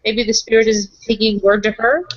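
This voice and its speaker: Higher-voiced woman, tentative casual roleplayer. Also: level tone, brisk. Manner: tentative, thinking aloud. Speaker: tabletop roleplayer. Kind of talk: casual improvised in-character roleplay. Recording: dry, dull lo-fi audio.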